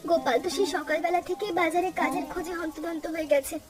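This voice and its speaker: Actress playing ailing village wife, friendly, plaintive, cartoon dialogue, high pitch, brisk, background music. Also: female voice, steady tone, far from the mic. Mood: friendly, wistful.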